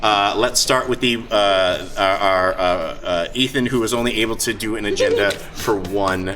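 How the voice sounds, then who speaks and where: A man hosting a live event as game master, talking off the cuff in a halting way and often repeating words. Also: on the low side, a bit bright. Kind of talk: unscripted talk to a live audience. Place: busy gaming convention. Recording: live convention hall, heavy crowd noise.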